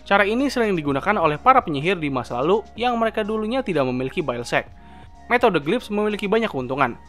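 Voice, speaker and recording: A man's voice: sits high, sing-song, fast, fairly bright tone. A young man, narrating a scripted explainer as a video host with informal, chatty asides. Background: background music.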